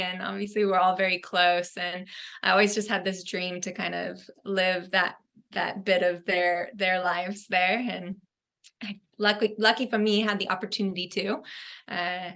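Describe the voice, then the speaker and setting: A woman's voice: mid-range voice, pushed and bright. A young woman from California, a guest in a casual interview, talking in a reflective, earnest way with frequent ums. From Fresno, California, US. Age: young adult.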